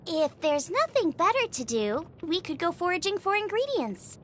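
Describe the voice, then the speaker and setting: A woman's voice: extremely high pitch, sing-song, moderate pace, fairly bright tone, a little hiss or hum, full character voice. A woman voicing a cheerful, eager teenage girl chef in a video game, chatty and enthusiastic. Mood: perky, eager. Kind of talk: video game character voice lines. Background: music.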